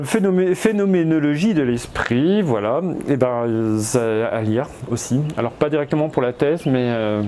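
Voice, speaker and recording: A man's voice: mid-range voice, mellow, a little hiss or hum. A man with a cold, rambling in a hesitant casual vlog, full of fillers and restarts and chatty asides to his viewers. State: has a cold. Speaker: vlogger. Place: outdoors in spring.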